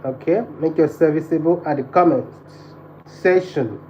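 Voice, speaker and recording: A man's voice: fairly high pitch, measured pace, a little mellow. Nigerian guy doing a live stream, chatty, says stuff over and over, kinda muffled audio with some noise behind. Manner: repeats phrases insistently. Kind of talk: casual livestream chat, greeting viewers.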